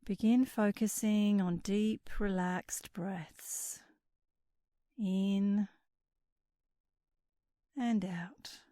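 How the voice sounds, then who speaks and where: Slow, mellow woman guiding sleep meditation. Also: mid-range voice, fairly even intonation, no echo, studio quality. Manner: soothing, unhurried, with breathy phrase endings. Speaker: guided meditation instructor. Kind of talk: guided sleep meditation narration.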